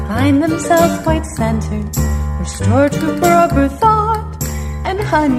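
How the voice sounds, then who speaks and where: An actress playing a scheming, murderous queen in a musical audio drama, regal and sugary with grandiose menace. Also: sits high. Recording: long reverb under music.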